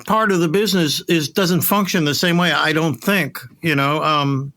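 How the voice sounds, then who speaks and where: A middle-aged man hosting a casual interview, talking in a reflective, halting, conversational way. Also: fairly high pitch, steady tone, bright, forceful tone. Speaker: interview host, voiceover director.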